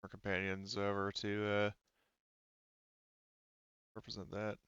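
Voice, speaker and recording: Man, game master, smooth lowish voice, halting casual explanations, slightly dull dry recording. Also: monotone, a bit bright, no room sound. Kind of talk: casual narration of a tabletop roleplaying game.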